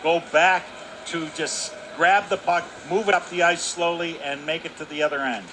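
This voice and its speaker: A man's voice: a little high, fairly even intonation, moderate pace. A man giving color commentary on a radio hockey broadcast, explaining strategy in a folksy, emphatic way. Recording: arena broadcast with faint noise.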